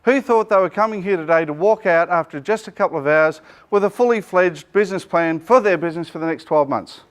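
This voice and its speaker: A middle-aged Australian man, a business coach, running a joking, energetic seminar and quizzing his audience, speaking without a microphone to the room. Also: sits high, a little fast, smooth. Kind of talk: lively workshop presentation to a live room.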